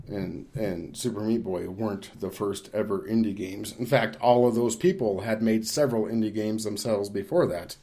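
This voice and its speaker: A man's voice: fairly low pitch, mellow. A man talking casually as a podcast host, in a rambling, informal and opinionated way. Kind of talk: casual discussion of games.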